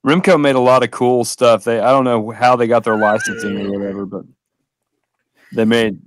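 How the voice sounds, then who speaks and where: A man's voice: mid-range voice, fairly even intonation, normal speed, a little hiss or hum. A man co-hosting a toy podcast, chatting casually like a shop owner among fellow collectors. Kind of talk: casual group chat about collectible toys.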